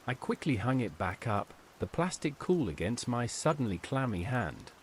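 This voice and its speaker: A man narrating a scripted horror story in a measured, dramatic way, as a storyteller. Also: medium pitch, a little mellow, slight background noise.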